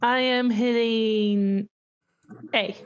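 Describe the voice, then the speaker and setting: A woman's voice: fairly high pitch, fairly even intonation, extremely slow, clean tone, highs cut off. A woman talking casually as a player in a tabletop role-playing game.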